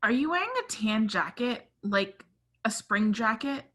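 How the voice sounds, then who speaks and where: A woman playing an eager, lovestruck teenage girl who gushes excitedly in a comedy table read. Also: on the high side, measured pace.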